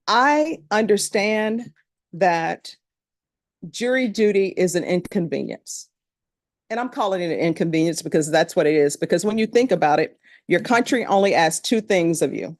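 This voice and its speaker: A woman's voice: fairly low pitch, lively intonation, unhurried, no room sound, studio quality. A middle-aged woman from Louisiana with a Louisiana accent, a judge speaking to a jury panel in a courtroom in a plain, conversational storytelling manner.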